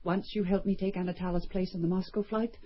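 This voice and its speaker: An actress playing a cool, ruthless young female Soviet spy in a radio drama, with a breathy voice. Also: fairly low pitch, fairly even intonation, fast, slight background noise, natural voice. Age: young adult. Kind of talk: 1970s radio drama acting. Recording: dull lo-fi old radio recording.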